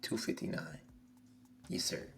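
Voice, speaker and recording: A hoarse young man on a live stream, reading a manga chapter aloud and reacting excitably, swearing freely. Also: measured pace. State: hoarse, losing his voice. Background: faint music.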